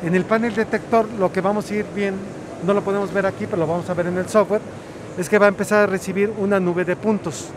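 A man's voice: high-pitched, steady tone, quick pace. A middle-aged man giving a technical product demonstration at a trade show, explaining step by step with frequent "eh" fillers. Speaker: product specialist. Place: large indoor trade show hall. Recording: noisy trade show hall.

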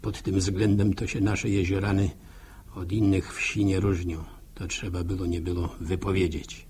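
A man's voice: low voice, level tone, normal speed, darkish tone, slight background noise, natural voice. A male actor playing a wry, folksy village narrator in a 1960s radio drama, musing ruefully in rustic speech. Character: wry, folksy village storyteller, gently lamenting. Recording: old 1960 radio broadcast recording.

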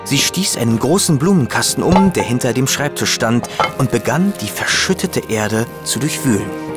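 Man as an expressive sidekick, music behind. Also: medium pitch, normal speed, natural voice. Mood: narrating. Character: earnest, slow-to-catch-on sidekick and chronicler. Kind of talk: German audio drama acting and narration.

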